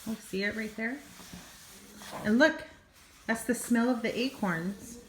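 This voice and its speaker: A woman's voice: on the high side, a little slow, fairly bright tone, slight background noise. An older woman, a grandmother, reading a children's story expressively with small asides, in an echoey room. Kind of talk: children's storybook read-aloud.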